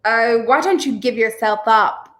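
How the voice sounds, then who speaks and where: A woman's voice: on the high side, unhurried, fairly bright tone. A young woman playing a stubborn, indignant, plaintive heroine in a 1930s radio play. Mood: scornful. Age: young adult.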